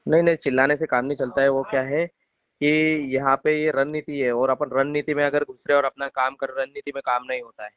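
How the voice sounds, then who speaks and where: A man's voice: medium pitch, flat delivery, a little fast. A man chatting casually and supportively on the phone, agreeable and often repeating short affirmations. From Madhya Pradesh, India. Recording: dry narrow phone-call line.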